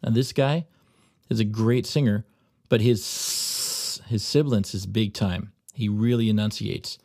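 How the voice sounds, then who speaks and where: A man presenting an audio software tutorial, narrating instructional steps as he demonstrates on screen. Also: fairly low pitch, steady tone, a little slow, dry recording, studio quality.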